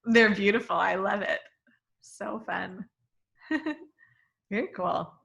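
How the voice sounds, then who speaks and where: A woman's voice: mid-range voice, gravelly, a bit bright. Upbeat woman hosting a video chat. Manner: upbeat, quick to praise. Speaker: host. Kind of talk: casual video call chat.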